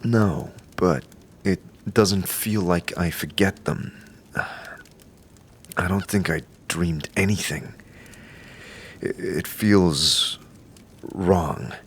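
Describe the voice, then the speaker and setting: A man playing a brooding, bewildered amnesiac in a fantasy audio drama, earnest, halting and searching for words. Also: sits low, slightly rough, heavily acted. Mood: troubled.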